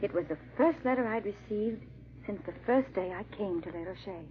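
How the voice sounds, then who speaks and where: A woman's voice: mid-range voice, a little fast, close to an everyday voice. A woman playing an anxious young French bride in a 1940s radio drama, with formal, theatrical diction. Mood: wistful recollection. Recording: dull, muffled old radio recording.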